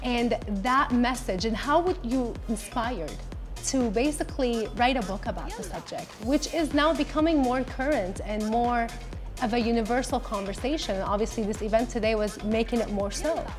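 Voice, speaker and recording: A woman's voice: fairly high pitch, normal speed, music in the background. A woman hosting an interview, chatty and encouraging with her guests. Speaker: host.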